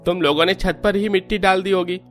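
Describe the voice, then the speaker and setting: An actor playing an annoyed, practical young Indian brother-in-law in a family cartoon. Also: male voice, sits high, steady tone, a little fast, a bit bright, faint music behind. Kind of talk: Hindi family cartoon dialogue.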